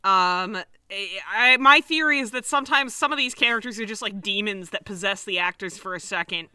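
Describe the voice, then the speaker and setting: Wry woman cohost joking around, super bright crisp voice, casual chat. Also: medium pitch, a little fast, no room sound. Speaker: co-host.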